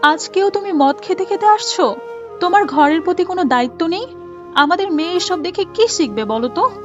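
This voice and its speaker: A woman playing a gentle, devout, long-suffering mother in a moral cartoon, tender and preachy. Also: very high voice, lively intonation, brisk, pitched above the performer's own voice. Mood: reproachful. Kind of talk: Islamic moral cartoon voice acting. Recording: muffled, with music behind.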